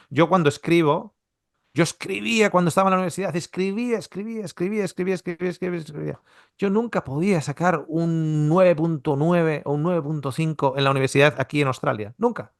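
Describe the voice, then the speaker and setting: A man leads a Bible seminar, talking things through with plenty of restarts and "you know?" checks. His voice sits a little high for a man. The sound is dry, with hardly any room around it. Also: fast talker, no room sound. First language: Spanish. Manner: explanatory, conversational, with many restarts and rhetorical questions. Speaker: Bible teacher. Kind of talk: seminar teaching. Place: Australia.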